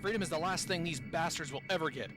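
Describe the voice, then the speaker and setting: A man's voice: a little high, fast, lightly acted, rough recording. A man playing an angry, hot-headed man in an audio drama. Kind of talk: fan audio drama acting. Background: loud dramatic music.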